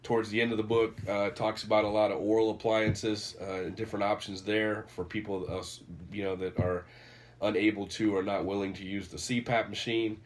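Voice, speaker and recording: A man's voice: on the low side, level tone, a little fast, a little hiss or hum. A man, congested and nasal from a cold, casually rambling through a book recommendation in a vlog. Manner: rambling, many fillers and restarts. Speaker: vlogger reviewing a book.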